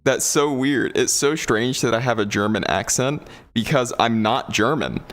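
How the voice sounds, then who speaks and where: A deep-voiced young man from the South, chatting casually on a livestream, rambling with fillers and laughing often. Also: expressive, moderate pace, fairly bright tone, faint music behind. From Georgia, USA. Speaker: VRChat streamer. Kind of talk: casual livestream chat reading comments.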